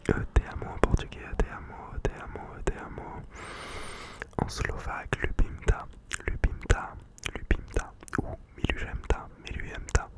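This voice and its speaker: A man whispering softly and close for ASMR, slowly repeating foreign words with casual slang. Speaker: ASMR creator.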